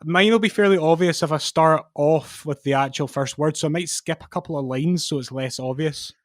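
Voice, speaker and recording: A man's voice: on the high side, fairly quick, no echo, studio quality. A man, chatting casually as a podcast co-host.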